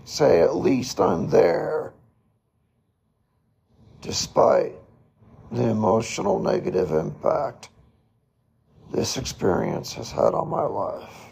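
A man hosting a solo podcast, reflecting in a slow, halting way with a hoarse, raspy voice. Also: medium pitch, very animated, a little mellow. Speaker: podcast host.

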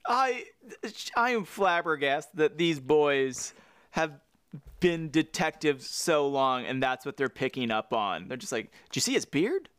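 A man co-hosting a casual podcast chat, animated and jokey, his pitch jumping high and low as he riffs. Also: unhurried, clean tone, no room sound, clean studio sound. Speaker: podcast co-host.

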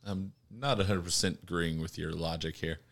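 Low bright-voiced man hosting a podcast. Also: steady tone, moderate pace, vocal fry. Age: 30s. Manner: joking, argumentative, frequent ums and uhs. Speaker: podcast host. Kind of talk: casual chat among friends.

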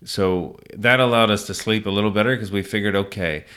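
A man's voice: lowish voice, fairly even intonation, a little fast, a bit bright, smooth. A middle-aged American man telling a story conversationally in an interview, earnest and reflective, circling back over phrases for emphasis. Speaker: small business owner being interviewed.

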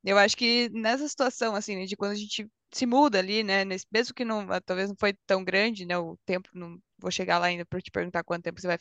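A woman's voice: medium pitch, fairly bright tone, no room sound. A woman co-hosting a podcast, talking casually and leaning on "né" as she asks questions. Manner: chatty, frequent "né" tags. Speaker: podcast co-host. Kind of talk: casual conversation.

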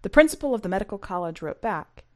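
A woman's voice: mid-range voice, expressive, fast, darkish tone. A woman hosting a fiction podcast, speaking in a scripted, read-aloud presenting and narrating style. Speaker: podcast host and story reader.

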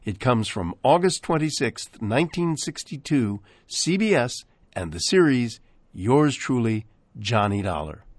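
An American man introduces radio programs in a husky, mid-pitched voice. His scripted yet conversational delivery is very animated, with playful theatrical emphasis. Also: measured pace, no room sound. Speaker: radio show host.

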